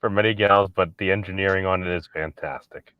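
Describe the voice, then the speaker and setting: A man hosting a late-night stream, talking in a halting, mock-formal way. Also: low-pitched, fairly even intonation, smooth, no room sound, narrow, tinny audio. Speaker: stream host.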